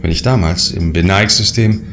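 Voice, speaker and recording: A man's voice: low voice, normal speed, a bit bright, soft background music. A man playing a confident, grandiose sect leader in a science fiction radio play, speaking in a lofty, solemn way. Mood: solemn, visionary. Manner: self-assured, solemn, lofty. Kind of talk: radio play dialogue and first-person narration.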